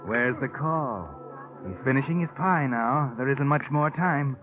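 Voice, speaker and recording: An actor playing a sinister, all-knowing narrator in a vintage radio mystery, taunting in clipped phrases. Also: male voice, medium pitch, a little slow, close to an everyday voice. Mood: ominous, tense. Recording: muffled vintage radio recording.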